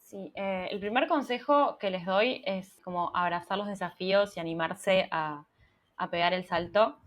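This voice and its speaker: A woman's voice: mid-range voice, moderate pace. A young Argentine woman, a UX writer, answering interview questions in a casual, thoughtful way with many restarts. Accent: Argentine Spanish.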